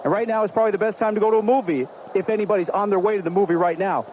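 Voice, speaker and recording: High-voiced radio sports announcer. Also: male voice, a little mellow, slight background noise, rough recording. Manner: brisk, fact-filled delivery. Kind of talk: pregame radio commentary. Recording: broadcast taped from radio.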